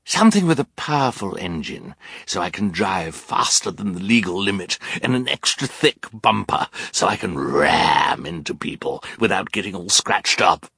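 A pompous, menacing male villain from a storybook. The voice is low and rasping, and it swoops with scornful sneers and slow, drawn-out snarls. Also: very animated, sharp tone, no room sound, studio quality, full character voice. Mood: boastful. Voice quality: growling, gravelly.

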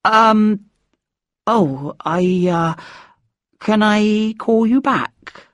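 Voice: mid-range voice, a little slow, darkish tone, dry recording, clean studio sound, voice pushed up, close to an everyday voice. A narrator voicing an earnest, awkward teenage schoolboy in an audiobook story, hesitant and mumbling.

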